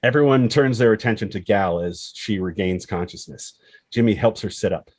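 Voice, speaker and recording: A man with a husky voice reading dramatic screenplay stage directions as narrator. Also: on the low side, moderate pace, a little mellow.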